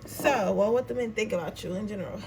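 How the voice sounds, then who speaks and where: A woman in her twenties with a raspy voice, chatting casually and rambling informally as she gives a tarot reading. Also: medium pitch, fast. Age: 20s. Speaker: tarot reader. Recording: noisy room, voice a bit far from mic.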